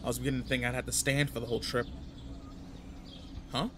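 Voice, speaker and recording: A man's voice: medium pitch, level tone, fast, noisy background, close to an everyday voice. A man playing a cocky, irritable teenage delinquent in a roleplay audio drama, talking casually and teasingly straight to the listener.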